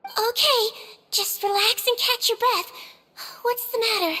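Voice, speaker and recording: Very high-pitched little girl nurse. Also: unhurried, a little hiss or hum, heavily acted. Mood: caring, reassuring. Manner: gentle, reassuring, instructive. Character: small kindly girlish head nurse, caring, unflappable. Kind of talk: video game voice acting. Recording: music under the voice.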